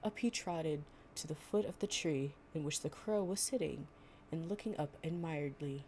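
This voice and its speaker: A woman's voice: low voice, moderate pace, a little mellow, slight background noise, low-quality recording. A woman performing a storytime audio roleplay, reading fables and chatting informally and playfully. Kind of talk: gentle storytime reading with improvised casual chat.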